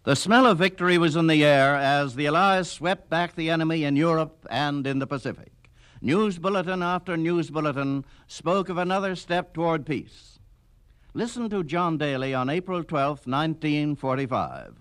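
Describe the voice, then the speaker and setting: Slightly high-voiced male documentary narrator. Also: normal speed, a bit bright, clear, resonant tone. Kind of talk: scripted radio history narration.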